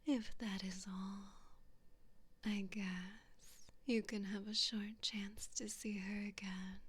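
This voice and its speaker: A woman playing a cruel, haughty evil queen in a whispered ASMR roleplay, taunting and condescending in a breathy voice. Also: mid-range voice, little pitch movement, slow pace. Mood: condescending, cold.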